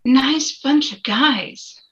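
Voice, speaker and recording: A woman's voice: high voice, very slow, a bit bright, full character voice. A woman playing a plain-spoken, self-assured working man on a jury in an amateur table read. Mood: blunt, chatty. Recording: dull, lo-fi microphone.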